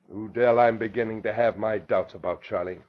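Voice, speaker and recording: An actor playing a tough, sneering mob boss who gives slangy orders, in 1940s radio drama style. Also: male voice, fairly low pitch, fairly even intonation, measured pace. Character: beefy, cold, sneering racketeer boss. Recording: muffled old radio recording.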